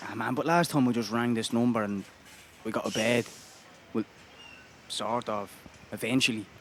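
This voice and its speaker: A man's voice: mid-range voice, fairly even intonation, moderate pace, slight background noise, close to an everyday voice. A man acting a desperate homeless Irish father in a radio play, pleading, exasperated and prone to swearing. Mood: pleading, deflated.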